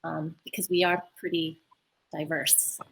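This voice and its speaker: A woman filmmaker on a remote video call, speaking reflectively and haltingly with many fillers. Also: low voice, flat delivery, creaky, fairly bright tone, breathy edge, rough recording. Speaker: filmmaker panelist. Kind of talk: casual roundtable talk.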